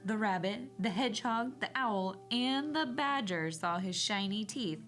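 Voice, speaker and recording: A woman reading a children's story aloud in an expressive, measured way, emphasizing key words for young listeners. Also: medium pitch, soft background music.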